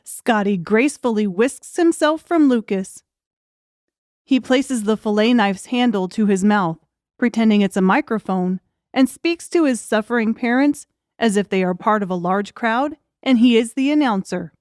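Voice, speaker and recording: A woman's voice: a little high, moderate pace, darkish tone, no echo, studio quality. A woman reading a scripted audiobook story as a dramatic narrator. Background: music.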